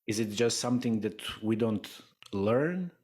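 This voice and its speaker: A man's voice: on the low side, animated, a little slow, dark tone. A man hosting a podcast, asking curious, probing questions of his guest. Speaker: podcast host. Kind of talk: interviewing in a podcast.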